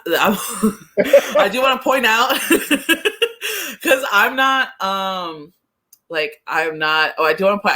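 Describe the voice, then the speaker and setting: A man co-hosting a sports podcast, chatting casually about soccer in a rambling, halting way and often correcting himself. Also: fairly high pitch, sharp tone.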